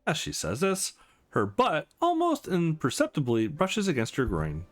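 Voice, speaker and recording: Animated man reading a game aloud over music. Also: a little high, a little slow. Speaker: let's play host reading a visual novel aloud. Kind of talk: expressive read-aloud of game narration and dialogue. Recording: music playing behind the voice.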